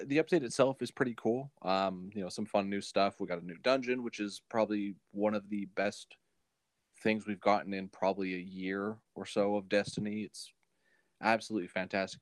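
Low-voiced man chatting, dry close sound. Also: dry recording. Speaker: podcast co-host. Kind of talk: casual chat.

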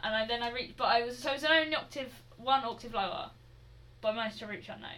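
Bright young female co-host, giggly, slightly high. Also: fast talker. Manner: giggly, hesitant restarts. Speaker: podcast co-host. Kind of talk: casual chat.

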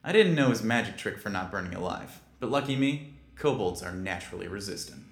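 A man playing a brooding kobold detective in a noir audio drama, wry and terse, with dramatic pauses. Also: fairly low pitch, animated, creaky, breathy edge, no room sound, exaggerated voice. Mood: knowing narration. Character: brooding male kobold detective, hard-boiled noir sleuth.

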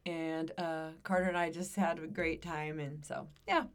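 A middle-aged American woman co-hosting a casual podcast, her voice rough and scratchy from singing, speaking in a hesitant, reflective way. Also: deep voice, flat delivery, a little slow. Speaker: podcast co-host and amateur singer. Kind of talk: casual conversational podcast chat.

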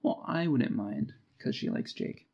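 A man with a mid-pitched voice talks as a podcast co-host. He retells a book in a loose, conversational style. His delivery is informal, with wry little jokes along the way. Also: sing-song, unhurried, a little mellow, dull audio. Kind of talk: casual book discussion with plot recap.

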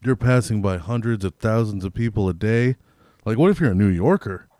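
A man's voice: on the low side, expressive, a little fast, warm tone, no echo, clean studio sound. A man chatting casually as a podcast co-host, with slangy, profane, rambling talk that piles up hypothetical examples. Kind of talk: casual chat, profane banter.

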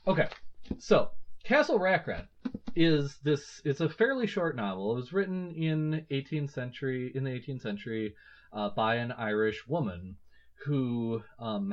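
Rambling, hesitant male podcast host. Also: medium pitch. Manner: rambling and hesitant, thinking aloud with many fillers. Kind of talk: casual book-discussion podcast. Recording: slightly muffled lo-fi audio.

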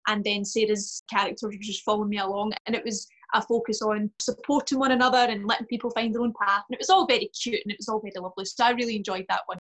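A woman's voice: medium pitch, fairly even intonation, fast, few pauses, fairly bright tone, rough recording. A woman who performs for children, chatting casually and effusively on a podcast.

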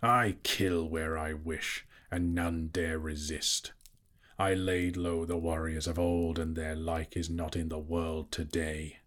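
A man voicing a huge old boastful dragon in a storybook, proud and menacing, with slow, gloating, grandiose delivery. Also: a bass voice, level tone, fairly bright tone, exaggerated voice. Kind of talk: audiobook character voice.

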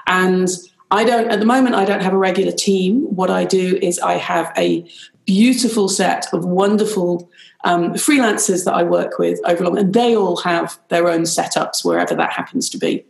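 A middle-aged woman with a British accent talks in a chatty, animated way, often calling things rather lovely. Her voice carries a gravelly fry. She sounds like she's on a video call in an echoey room. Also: on the low side, normal speed. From Ireland, raised in Pakistan and Nigeria. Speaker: business strategist guest. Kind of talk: conversational interview.

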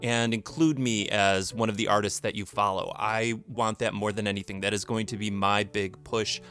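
A man's voice: on the low side, steady tone, moderate pace, fairly bright tone. A man hosting a children's comedy podcast, moving between storytelling and casual chat.

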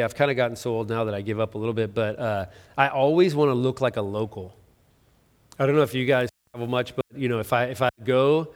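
A middle-aged man preaches in a loose, conversational way, joking at his own expense and restarting his sentences often. His voice sits at an ordinary middle pitch. The sound carries the long reverb of a large hall. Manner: conversational, self-deprecating, many restarts and fillers. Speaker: pastor. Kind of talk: sermon.

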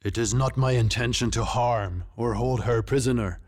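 A man voicing an imperious, fanged tiger king warlord with a commanding, formal and deliberate delivery in a fantasy story. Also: mid-range voice, natural voice. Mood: solemn, forceful.

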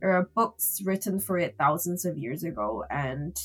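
A woman from Singapore, a guest acupuncturist, answering interview questions in a relaxed way with easy laughs. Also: lowish voice, moderate pace, breathy edge, soft background music.

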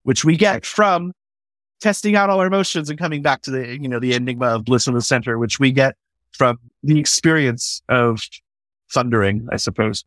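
A man talks in a loose, excited conversational way, swearing freely and restarting his sentences as he thinks aloud. His voice sits at an ordinary middle pitch. The sound is dry, with no room echo. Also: normal speed. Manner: enthusiastic, swears casually, many restarts. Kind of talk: conversational podcast discussion.

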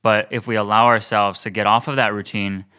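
A man's voice: on the low side, little pitch movement, brisk, bright, forceful tone, clean tone. A young man co-hosting a podcast, talking earnestly and thinking aloud with many restarts. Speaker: podcast co-host. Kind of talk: casual podcast chat.

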